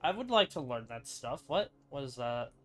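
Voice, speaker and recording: A woman's voice: very deep voice, sing-song, moderate pace. A woman doing casual gameplay commentary, reacting with blunt, sceptical, offhand asides. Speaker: gamer reacting to game story. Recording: game music behind the voice.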